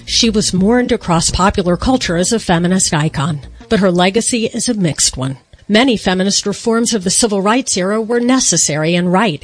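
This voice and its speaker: Woman reading a firm radio commentary. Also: medium pitch.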